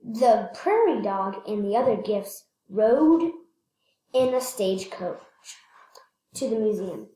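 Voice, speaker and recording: A woman reading a children's picture book aloud, slightly halting, repeating phrases and adding casual asides. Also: medium pitch, very animated, dark tone. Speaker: read-aloud storyteller. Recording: echoey room.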